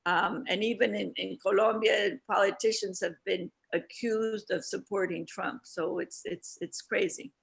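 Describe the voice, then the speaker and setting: A woman's voice: mid-range voice, fairly even intonation, measured pace, creaky, few pauses. A woman speaking as a guest on an online panel, earnest, often repeating words as she starts a thought. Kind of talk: casual online panel discussion.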